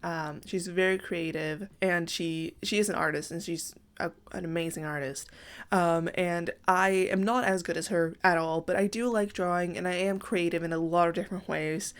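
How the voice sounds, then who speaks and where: A young woman with a congested, slightly raspy morning voice, rambling casually through a voice-over about a house she built in a game. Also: fairly low pitch, fairly even intonation, moderate pace. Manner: rambling, self-correcting, apologetic. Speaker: game building creator.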